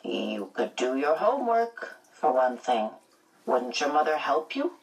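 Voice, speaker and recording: A woman voicing a stern, prying male school principal in an audiobook, measured and admonishing. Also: a bass voice, big pitch swings, distant mic, heavily acted, poor audio. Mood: probing concern. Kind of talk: audiobook character voice.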